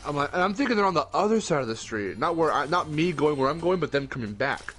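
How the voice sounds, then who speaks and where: A man's voice: on the high side, animated, fast, a bit bright. A young man talks with a groggy, worn morning voice, the way a podcast co-host chats casually. He rambles, backs up to correct himself and drops the odd swear. Faint music plays underneath him. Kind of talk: casual chat.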